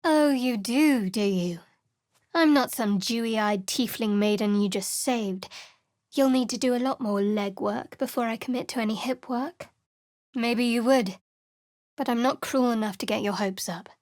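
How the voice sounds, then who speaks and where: A woman voicing a guarded, sardonic young female cleric of a dark goddess in a fantasy video game, with a dry, secretive, teasing manner. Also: on the high side, a little slow, no room sound, close to an everyday voice. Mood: teasing, sly. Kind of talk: video game companion voice lines.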